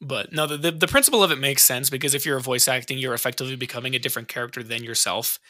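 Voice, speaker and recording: Podcast co-host, man, very bright tense tone, dry close mic, jokey and profane. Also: mid-range voice, rapid-fire, dry recording. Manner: joking, profane, rambling. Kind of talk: casual podcast chat.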